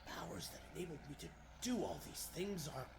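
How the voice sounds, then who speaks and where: A man performs a heroic superhero for an old-time radio play. He is earnest and melodramatic, as if thinking aloud under strain. The pitch swings widely over a gravelly edge, with faint noise in the recording. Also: very animated, fast. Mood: troubled brooding. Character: heroic male superhero, righteous, troubled and straining. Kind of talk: 1940s radio acting.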